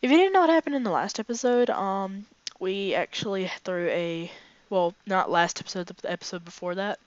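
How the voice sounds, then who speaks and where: A young woman talks over her gameplay in loose, rambling sentences full of likes and ums. Her voice is raspy and worn thin from being sick. The home recording sounds a little dull. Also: medium pitch, brisk, no room sound. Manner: rambling, filler-heavy, chatty. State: hoarse, voice fading from illness. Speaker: gaming channel host. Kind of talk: casual let's play commentary.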